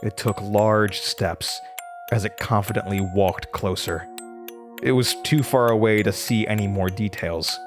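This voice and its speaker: Young male student narrator for horror audio drama played by a male actor: slightly low pitch, haunted, dramatic delivery, clear music bed. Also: fairly even intonation, measured pace, close to an everyday voice. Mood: dread. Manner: dramatic, haunted, stammers under stress. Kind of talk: horror audio drama first-person narration, acting out dialogue.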